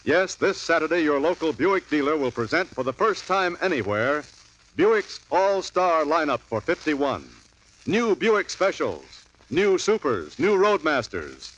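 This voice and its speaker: A man's voice: fairly high pitch, very animated, measured pace, a bit bright. A man reading a sponsor's advertisement and introduction in upbeat 1950s radio style. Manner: upbeat, selling. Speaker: sponsor announcer. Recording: dull old radio broadcast.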